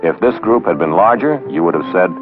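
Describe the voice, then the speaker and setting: A man's voice: sits low, expressive, fairly quick. A man narrating a 1960s training film, instructing with wry, sarcastic asides. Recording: dull old film soundtrack with music. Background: background music.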